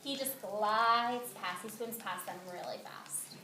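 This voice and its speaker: A woman teacher reading a picture book aloud to young children expressively, pausing to explain simply, ask questions, praise and redirect. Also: medium pitch, pushed and bright. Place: indoor classroom with young children. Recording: echoey classroom, noisy, with clicks.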